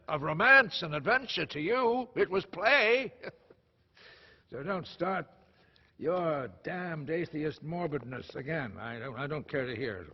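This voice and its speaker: A bitter, proud old actor father, performed by a man in a stage drama monologue. The voice is heavily husky with a creaky, gravelly edge. He is theatrical and regretful, moving between hushed and declamatory delivery, on a slightly muffled recording. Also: mid-range voice, exaggerated voice. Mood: scolding. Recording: dull, lo-fi sound.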